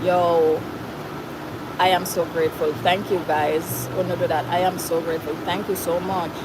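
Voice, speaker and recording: A woman's voice: fairly low pitch, flat delivery, moderate pace, heavy background noise. A woman with a Jamaican accent hosting a casual livestream, reading out viewer comments in a chatty way and slipping into Patois phrases. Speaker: livestream host.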